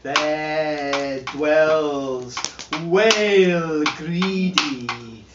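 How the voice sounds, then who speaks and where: A man giving a slow, chanting dramatic recitation of an old charm, swinging from roaring calls to hushed murmurs, recorded from a distance at a live performance. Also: on the high side, background noise. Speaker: storyteller. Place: heritage site, live performance room. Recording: dull, roomy live recording from far off. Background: drumming.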